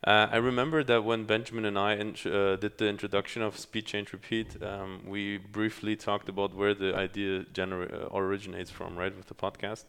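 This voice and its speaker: A man from Germany hosting a podcast, speaking in a halting way with many pauses and restarts. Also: lowish voice, level tone, a bit bright, clean tone. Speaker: podcast host. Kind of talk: casual podcast chat.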